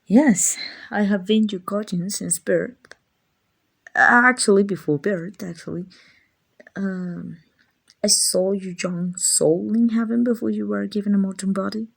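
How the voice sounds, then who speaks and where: A woman voicing a gentle, doting, slightly clumsy guardian angel in a soft-spoken ASMR comfort roleplay, affectionate, hesitant and apologetic. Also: mid-range voice, steady tone, unhurried, gravelly, slightly rough. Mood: reassuring, tender.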